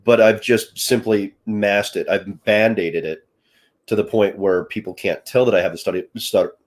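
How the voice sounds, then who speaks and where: A man's voice: fairly low pitch, fairly even intonation, moderate pace. A man hosting a podcast who has a stutter. He is hesitant, repeats words, and sometimes breaks off with a light laugh. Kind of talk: conversational interview.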